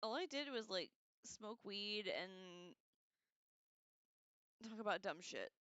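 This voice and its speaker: Animated, bright-voiced woman streamer. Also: mid-range voice, slow, smooth, no room sound, muffled recording. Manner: irreverent, slangy, swears casually. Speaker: livestream host. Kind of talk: casual rambling read-along discussion.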